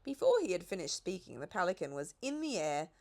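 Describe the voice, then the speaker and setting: Animated woman reading a children's story. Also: on the low side, a little fast. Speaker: audiobook reader. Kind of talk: scripted children's story narration.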